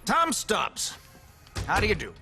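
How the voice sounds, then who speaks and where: Smug video game politician, highish voice, wildly swooping delivery, pompous, glib, theatrically candid. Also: male voice, wildly swooping pitch, slow pace, sharp tone, faint music behind, exaggerated voice. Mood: effusive, smug. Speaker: politician character. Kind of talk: video game cutscene acting.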